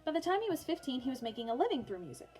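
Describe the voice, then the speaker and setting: A woman's voice: high voice, sing-song, racing, a little mellow, soft background music. A woman teacher reading a children's biography aloud for online learners, in storybook narration with informal, chatty asides.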